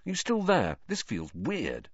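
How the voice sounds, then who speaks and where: Guy doing a chatty teen schoolboy in an audiobook, informal, bit uneasy, pitch all over. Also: wildly swooping pitch, unhurried, breathy edge, dry recording, muffled recording, full character voice. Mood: uneasy, muttering. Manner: muttered, informal. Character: teenage schoolboy friend, muttering, uneasy but chatty.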